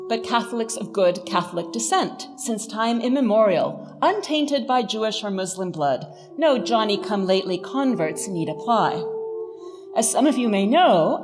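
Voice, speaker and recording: A middle-aged woman giving a scripted lecture as a professor, articulate, emphatic and wryly humorous. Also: medium pitch. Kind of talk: scripted lecture with dry humour. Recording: long hall reverb, faint music behind.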